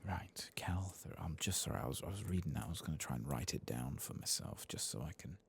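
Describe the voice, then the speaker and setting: Very deep, gravelly soft-spoken man. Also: lively intonation, quick pace. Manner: mumbling, half-whispered asides while playing. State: voice lower after singing. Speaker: ASMR gaming channel host. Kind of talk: soft-spoken gameplay commentary.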